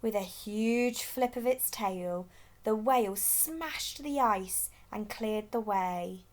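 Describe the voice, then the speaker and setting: A woman's voice: mid-range voice, slow pace. A woman reading a children's storybook aloud expressively as a storyteller.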